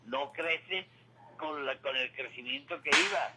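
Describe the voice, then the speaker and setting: A man's voice: a little high, huge pitch swings, measured pace, creaky, heavy background noise. A man giving opinionated, conversational radio commentary in an emphatic, explanatory way, often repeating his points. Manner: emphatic, didactic, repeats points and asks rhetorical questions. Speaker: radio commentator on current affairs.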